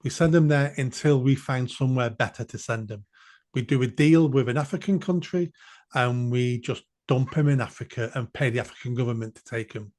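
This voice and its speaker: A man's voice: medium pitch, brisk, darkish tone. A man, a podcast guest speaking bluntly and emphatically, repeating phrases for force. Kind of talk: political podcast discussion.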